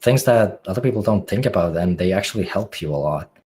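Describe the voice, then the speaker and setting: A man with a Finnish accent talks through his ideas as a guest on a podcast. His voice sits very low, and his answers come in reflective, halting phrases full of fillers and restarts. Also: lively intonation, a little mellow. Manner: musing, searching for words with many fillers and restarts. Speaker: podcast guest, music producer.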